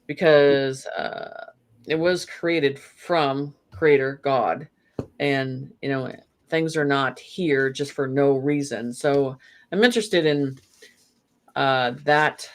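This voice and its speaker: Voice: mid-range voice, takes it slowly. A guest in a spiritual conversation, talking in a meandering way with frequent "you know" fillers.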